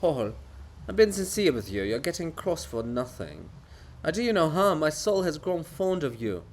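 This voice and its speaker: A man plays a statue come alive in a stage farce, the patient friend of the group. He speaks softly and coaxingly, in measured phrases with a gentle needling edge. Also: a little high, sing-song. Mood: sincere, pleading. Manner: soft-spoken, coaxing, measured. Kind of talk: theatrical dramatic reading of a farce.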